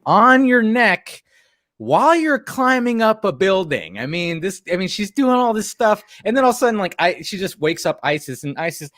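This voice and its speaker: A man co-hosting a stream, chatting casually and rambling, with a laugh, his voice a bit deeper than usual after a late night. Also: very animated, normal speed, a bit bright, no echo, studio quality. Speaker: co-host of a watch-along stream. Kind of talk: casual commentary chat.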